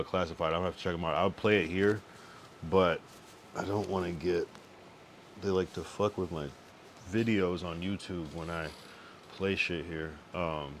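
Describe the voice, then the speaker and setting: Deep-voiced laid-back streamer man. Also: slight background noise. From Connecticut and Massachusetts, US East Coast. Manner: laid-back, profane, repeats phrases. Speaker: live streamer. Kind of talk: loose late-night stream chat.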